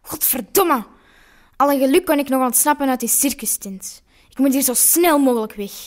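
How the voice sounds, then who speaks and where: A hurried, foul-mouthed villain magician , acted by a woman. The voice is high, performed in amateur audio drama style. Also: darkish tone, natural voice. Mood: angry, hurried. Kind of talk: amateur radio play acting.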